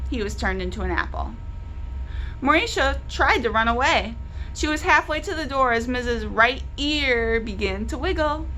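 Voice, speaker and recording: A woman reads a children's story aloud with a bright, high voice. Her delivery is lively and expressive, pitched at young listeners, over clear background noise. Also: low-quality recording. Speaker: library storyteller.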